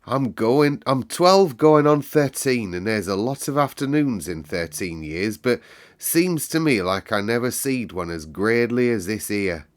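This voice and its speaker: A man voicing a gentle twelve-year-old country boy in broad, earnest Yorkshire dialect for a storybook. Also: mid-range voice, animated, unhurried, natural voice. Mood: awed wonder.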